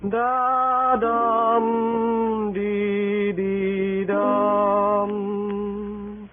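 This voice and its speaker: A woman playing a young servant, singing a gentle, drawn-out lullaby in an old radio Shakespeare drama. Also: medium pitch, monotone, over music. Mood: gentle, plaintive. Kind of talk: slow sung Shakespeare song in radio drama. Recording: muffled old radio recording.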